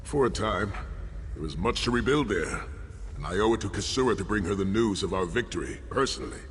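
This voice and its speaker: An actor playing an earnest, battle-worn noble warrior in a video game, speaking in a formal, grave way. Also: male voice, fairly low pitch, expressive. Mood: warm farewell. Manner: formal, measured, grave speech. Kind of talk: video game character acting. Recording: long reverb, noisy ambience.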